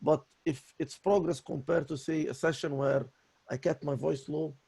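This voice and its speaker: A man in a voice lesson with a raspy voice, talking in an apologetic, halting way with many restarts. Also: fairly high pitch, steady tone, darkish tone. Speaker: voice student. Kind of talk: voice lesson conversation.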